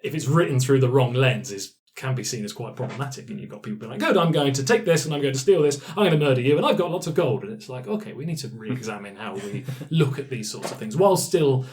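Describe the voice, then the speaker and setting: A man's voice: medium pitch, animated, fast talker, hardly any pauses, darkish tone. A man speaks as the game master of a casual tabletop discussion. He talks in a loose, conversational way and slips into quoted asides as he explains his thinking.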